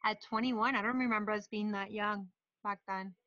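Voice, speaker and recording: A woman's voice: medium pitch, steady tone, normal speed, sharp tone, dry recording, muffled recording. A woman co-hosting a casual podcast, teasing and incredulous. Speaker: podcast co-host. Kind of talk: casual chat among friends.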